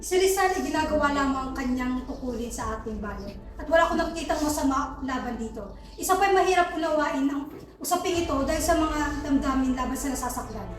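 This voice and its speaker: Girl playing an earnest court defender, talks fast and high, student drama, lots of echo, far from mic. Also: lively intonation. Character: earnest tribunal member defending the accused. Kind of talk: recited amateur courtroom drama. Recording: very echoey room, distant mic.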